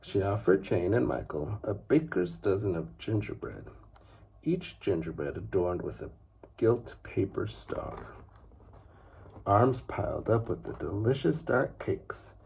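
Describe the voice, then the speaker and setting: A man reading a children's story aloud in an expressive, unhurried way with dramatic pauses and a husky, gravelly voice. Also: low voice, slight background noise. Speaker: father reading a bedtime story.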